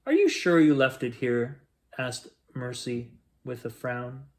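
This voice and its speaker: A man reading a rhyming picture book aloud as a bedtime story, in a gentle, expressive storytelling manner. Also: medium pitch, slow. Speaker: children's bedtime story reader.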